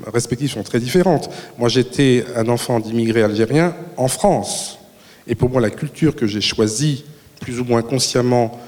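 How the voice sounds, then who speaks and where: A man speaking on a panel before an audience, measured and reflective. His voice sits in the middle range. A long reverb from the hall trails every phrase. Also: mid-range voice, darkish tone. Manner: measured, thoughtful, speaks in full sentences. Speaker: panel guest. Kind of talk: reflective answers at a public talk.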